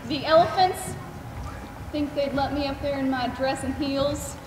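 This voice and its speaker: A woman's voice: sits high, steady tone, unhurried, recorded from a distance. A young woman on stage playing a caring, steadfast friend with earnest, projected delivery. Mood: playful. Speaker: stage actress in a school play. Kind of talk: theatrical stage acting. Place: large theatre stage, indoors. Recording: echoey theatre hall, clear background noise.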